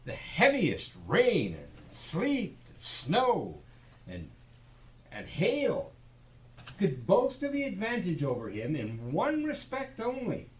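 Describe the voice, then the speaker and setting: A man narrating a stage play as a storyteller, with measured phrasing and dramatic pauses. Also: high voice, exaggerated voice. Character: storyteller describing a cold-hearted miser. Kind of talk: theatrical scripted storytelling. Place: theatre stage, live performance. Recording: live stage recording with noise.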